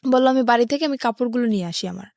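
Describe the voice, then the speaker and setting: Young bangladeshi woman telling her life story on a show, highish voice, dry sound. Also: rapid-fire, gravelly, a little mellow, dry recording. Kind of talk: personal storytelling in an interview.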